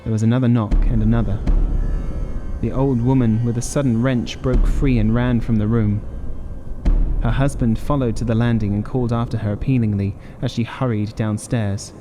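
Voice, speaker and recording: A man's voice: mid-range voice, steady tone, normal speed, over music. A man narrating a horror audiobook in a dramatic, scripted reading style.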